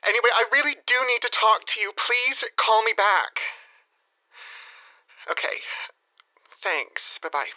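An actor playing an apologetic, needy ex-boyfriend, hesitant and pleading through a voicemail filter in an audio drama. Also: male voice, high-pitched, lively intonation, a little slow, pauses often, sharp tone, full character voice. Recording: thin, muffled voicemail line.

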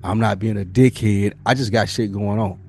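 A man's voice: fairly low pitch, fairly even intonation, normal speed, darkish tone, slightly rough, faint music behind. A man with an American accent in casual podcast discussion as co-host, slangy and repeating his phrases.

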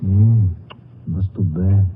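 An actor playing a deferential, sleepy Mexican man who lives alone, speaking halting, stammering English with a Mexican Spanish accent in an old-time radio drama. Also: low-pitched, steady tone, slightly rough, exaggerated voice. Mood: innocent, hesitant. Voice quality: hesitant, stammering delivery. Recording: muffled, phone-like old radio recording, faint noise.

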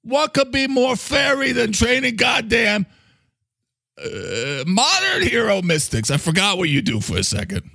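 A man's voice: extremely high pitch, big pitch swings, measured pace, vocal fry, sharp tone, no echo, studio quality, voice pushed up, exaggerated voice. A man voicing a touchy, foul-mouthed talking coffee maker with a New York accent, blustery and defensive. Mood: blustery, pushy. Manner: blustery, defensive, swearing, badgering with questions.

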